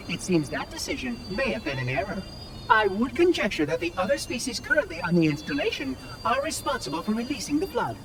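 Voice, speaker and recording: An actor voicing a prim, fussy ancient machine caretaker in a video game cutscene, speaking in a polite, precise and formal way. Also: male voice, high voice, noisy background. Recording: distant voice over loud music.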